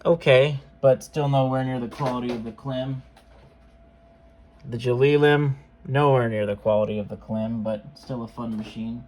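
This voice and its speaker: A man's voice: mid-range voice, fairly even intonation, normal speed, darkish tone. A man giving a casual product demonstration as a seller, talking in an informal, offhand, conversational way. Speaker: seller demonstrating modified cassette players.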